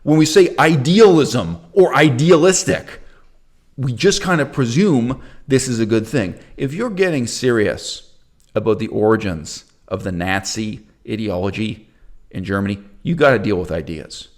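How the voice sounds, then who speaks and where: Male host, opinionated lecture to camera, animated pitch, mid-range voice. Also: a little slow. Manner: emphatic, argumentative, swings pitch for stress. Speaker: channel host. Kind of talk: opinionated solo video lecture.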